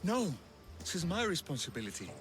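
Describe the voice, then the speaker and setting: Expressive, earnest young healer. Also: male voice, on the high side, brisk, over music. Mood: anguished, resolute. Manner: earnest, emotional, hesitant. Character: earnest, wounded young male healer of ancient Greece. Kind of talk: video game cutscene acting.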